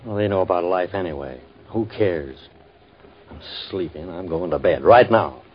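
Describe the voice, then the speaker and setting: A man's voice: low voice, expressive, normal speed. An actor playing a grumpy, greedy everyman in a 1940s radio play, with blustering, demanding speech. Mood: grumbling. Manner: blustering and demanding, then drowsy. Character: grumpy, greedy middle-aged everyman dreaming of pie. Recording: muffled old radio recording, faint hiss.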